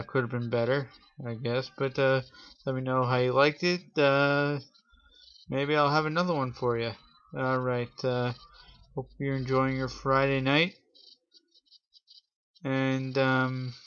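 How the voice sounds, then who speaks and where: A man hosting a show and improvising a spooky story for his viewers, speaking haltingly in short phrases with pauses. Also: mid-range voice, steady tone, a bit bright, smooth, lo-fi sound. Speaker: host telling a scary story.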